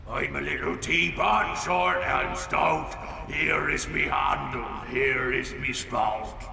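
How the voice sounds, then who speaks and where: An undead robot pirate captain with an extremely gravelly croak. He drawls slowly in gruff video game pirate style, echoing from far off over music. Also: fairly high pitch, fairly even intonation, pushed and bright, full character voice. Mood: dirge-like. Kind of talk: animated video game cutscene acting. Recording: echoey, distant, music behind.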